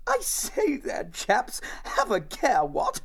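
A man's voice: very high voice, wildly swooping pitch, slow, breathy edge, voice pushed up, full character voice. A man voicing a greedy young male hare who blusters in a plummy, old-fashioned manner. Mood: indignant bluster.

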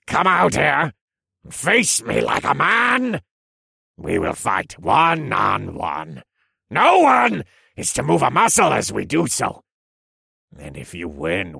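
A man voicing a towering, cruel elf general in a fantasy audiobook, with a raspy, menacing snarl and commanding, boastful delivery. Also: low voice, sharp tone, dry recording, clean studio sound, full character voice. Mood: commanding challenge. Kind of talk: acted audiobook character dialogue.

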